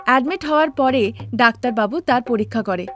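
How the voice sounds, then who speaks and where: Female cartoon narrator, slightly high, steady storytelling. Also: brisk, faint music behind.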